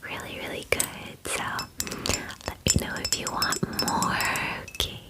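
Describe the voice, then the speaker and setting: A woman voicing a bubbly, friendly female dragon in an ASMR fantasy roleplay, chatting in a soft, breathy, girly whisper with casual filler words. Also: unhurried, natural voice. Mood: friendly, pampering.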